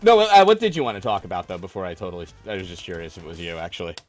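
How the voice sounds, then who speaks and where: A man's voice: deep voice, wildly swooping pitch, very fast. A man hosting a casual call-in gaming stream, bantering and mock-arguing with callers and swearing casually. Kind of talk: casual banter with callers about video games.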